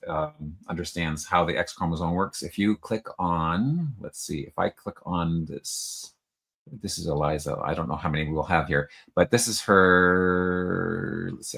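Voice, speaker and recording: A man calmly walking through software features on a call, explaining step by step. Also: deep voice, slightly rough. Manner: calm, explanatory, slow in spots. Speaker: software demonstrator. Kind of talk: casual screen-share walkthrough.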